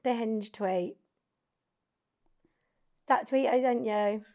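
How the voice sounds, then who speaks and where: A woman's voice: fairly high pitch, slow pace, darkish tone. A young woman hosting a podcast, chatting casually, swearing and laughing often. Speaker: podcast host. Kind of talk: casual chat.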